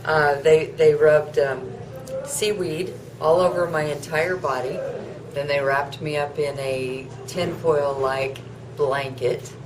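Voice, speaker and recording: Slow-talking woman with noise around her. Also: low-pitched. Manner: unhurried, cheerful, halting with fillers. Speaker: cruise passenger interviewed. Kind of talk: casual chat.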